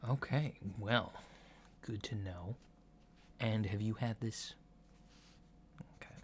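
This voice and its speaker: A man playing a polite, curious alien doctor in a soft-spoken ASMR roleplay, talking in a halting, matter-of-fact way. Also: fairly low pitch. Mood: mild, attentive. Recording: lo-fi with clear background noise.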